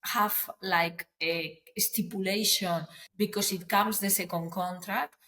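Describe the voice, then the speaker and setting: A woman's voice: mid-range voice, steady tone, slow, pushed and bright, low-quality recording. Woman lawyer explaining. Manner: earnest, explanatory, non-native phrasing with restarts. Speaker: property lawyer, guest. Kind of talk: podcast interview, explaining.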